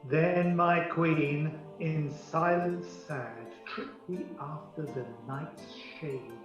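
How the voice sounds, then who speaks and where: An actor playing a lordly fairy king, declaiming verse with slow, stately deliberation in a radio play. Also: on the high side. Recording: distant, muffled, cavernous echo with music.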